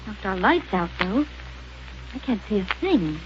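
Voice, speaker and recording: An actress playing a plucky young woman in a 1930s sci-fi radio drama, speaking in a brisk, eager way. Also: mid-range voice, big pitch swings, lightly acted. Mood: tense. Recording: dull old radio recording with hiss.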